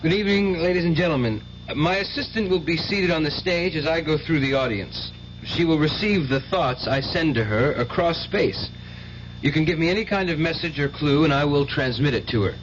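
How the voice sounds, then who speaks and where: An actor playing an earnest, devout young stage mind-reader in a vintage radio drama, speaking formally with some hesitation. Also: male voice, a little high, moderate pace, over music, lightly acted. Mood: showman, formal. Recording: noisy, dull vintage radio recording with hum.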